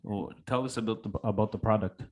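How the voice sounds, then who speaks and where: A man's voice: fairly low pitch, fairly even intonation, fast talker, darkish tone. A man co-hosting a podcast in a casual, conversational style. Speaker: podcast co-host. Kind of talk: casual, joking interview chat.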